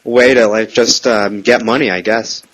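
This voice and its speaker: A man with a fairly low voice speaks as a caller on the phone. He asks questions in a casual, meandering way, hesitating often while still pressing his point. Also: steady tone, normal speed, fairly bright tone, poor audio. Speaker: prank caller. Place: phone call.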